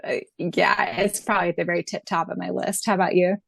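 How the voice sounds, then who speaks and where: A woman's voice: on the low side, little pitch movement, fast, vocal fry, a bit bright, breathy edge, no room sound. A woman co-hosting a podcast, talking casually and emphatically as a fan in a chatty, informal way. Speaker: podcast co-host and audiobook voice performer.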